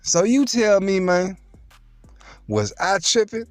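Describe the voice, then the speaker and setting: Animated storytelling man with street slang. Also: sits high, slow pace, no room sound, soft background music. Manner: streetwise, playful, boastful slang, addresses listeners directly. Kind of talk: casual storytelling to viewers.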